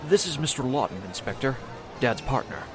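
An actor playing a dutiful, protective young adult son in a 1940s-style radio drama. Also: male voice, mid-range voice, sing-song, fairly quick, over music, crowd noise, close to an everyday voice. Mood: subdued, polite.

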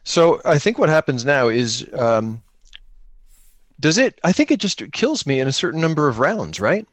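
A man's voice: fairly high pitch, big pitch swings, normal speed, no room sound. A man chatting casually and jokingly with dry humour as he plays an improvised tabletop role-playing game.

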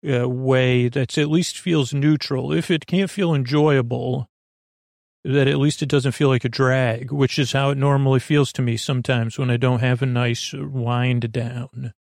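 Male sleep-podcast host: gravelly, soft, meandering and halting, very dry close sound. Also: mid-range voice, fairly even intonation, dry recording. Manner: meandering, halting, circles back and loses his thread; gentle, soft delivery. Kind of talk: rambling, soothing bedtime monologue.

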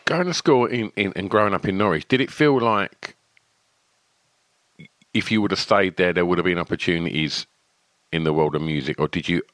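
Very deep-voiced interviewer. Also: male voice, fairly bright tone, no room sound. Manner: measured, hesitant questioning with fillers. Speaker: interviewer, podcast host. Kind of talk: interview questions.

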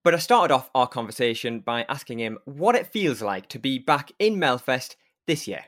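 A man's voice: medium pitch, lively intonation, moderate pace, fairly bright tone. A man with a Newcastle accent hosting a podcast, chatty and full of enthusiastic praise. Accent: Newcastle English. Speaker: podcast host and interviewer. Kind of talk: casual podcast chat.

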